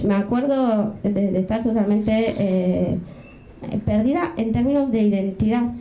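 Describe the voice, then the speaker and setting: A hesitant middle-aged woman from France, talking on a panel with a handheld mic, her voice fairly high and very mellow. The sound is roomy, with the echo of an event hall. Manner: hesitant, searching for words. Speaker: panelist, writer. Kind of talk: panel discussion.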